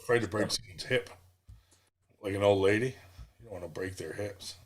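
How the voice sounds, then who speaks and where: A man's voice: on the low side, a little fast. A man in casual banter, joking in a crude, profane way.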